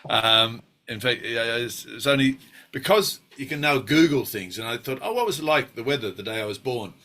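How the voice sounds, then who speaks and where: An Australian man in his sixties tells his story with a bright, forceful edge to his voice. He is wry and self-deprecating, and his speech is full of ums and restarts. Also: medium pitch, moderate pace. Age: 60s. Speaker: actor guest. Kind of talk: relaxed interview reminiscing.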